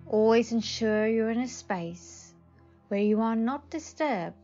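A woman leading a guided meditation in a soothing manner, speaking in short phrases with long pauses. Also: fairly high pitch, animated, mellow. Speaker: psychic advisor, meditation guide. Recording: slightly dull audio. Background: faint music.

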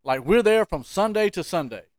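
A man with a fairly high-pitched voice tells stories as a podcast co-host. He is colloquial and emphatic, repeating phrases for comic effect. The sound is dry, with very little room. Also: lively intonation, moderate pace, no echo. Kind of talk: casual comic storytelling.

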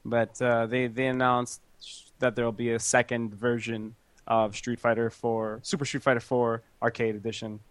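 A man's voice: medium pitch, level tone, normal speed, no echo. Young canadian guy on a gaming podcast, jokey and swears a lot, dry close mic. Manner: joking, profane, laughs often. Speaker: podcast host. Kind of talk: casual gaming podcast chat.

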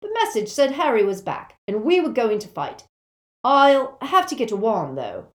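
A woman as an eager, high-pitched schoolboy. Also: sing-song, normal speed, roomy sound. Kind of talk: audiobook character voice.

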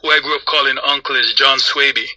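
A man from Jamaica and Trinidad who grew up in the United States, talking casually as an interview guest in a relaxed, conversational way. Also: mid-range voice, quick pace, no echo. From Jamaica and Trinidad, raised in the United States. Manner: relaxed, conversational, with frequent "you know". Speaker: guest, music industry professional.

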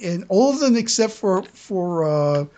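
A podcast host talking through fan news casually, earnest and halting, often repeating words while searching for the next phrase. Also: medium pitch, animated, darkish tone, muffled recording.

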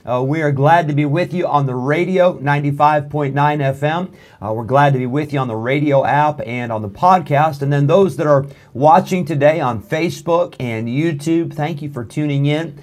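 Folksy pastor hosting radio. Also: male voice, on the high side, moderate pace, darkish tone. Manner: friendly, rambling, many fillers. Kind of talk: casual radio broadcast announcements. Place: church studio room.

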